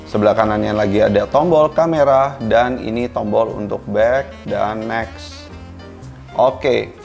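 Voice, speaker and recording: Male product-review host, mid-pitched, informal and colloquial, talks straight to the audience, light music underneath. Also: creaky, a little mellow. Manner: informal and colloquial, addresses viewers directly. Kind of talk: casual product review presentation.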